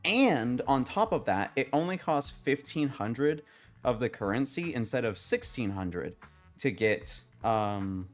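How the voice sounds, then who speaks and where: A young man streaming a game, chatting casually with viewers in a rambling, halting way, his voice slightly raspy. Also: medium pitch, animated, faint music behind. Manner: rambling, halting, many restarts and fillers, swears casually.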